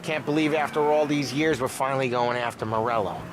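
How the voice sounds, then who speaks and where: A man's voice: medium pitch, a little fast, background noise, natural voice. A man playing an eager, chatty mob hitman. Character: eager male mob hitman, nervous and talkative. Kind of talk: video game cutscene acting. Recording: game cutscene with music.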